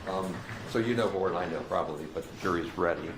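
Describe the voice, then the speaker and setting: Man, very low voice, calm procedural explainer, roomy noisy broadcast audio. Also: fast.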